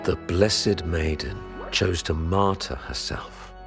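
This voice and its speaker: An actor playing a grave, commanding religious leader in a video game, speaking in formal, zealous oratory. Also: male voice, deep voice, slow pace, gravelly, heavily acted. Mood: solemn, reverent. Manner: solemn, oratorical, formal diction. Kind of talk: dramatic video game voice acting. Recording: loud orchestral music behind. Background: loud game music.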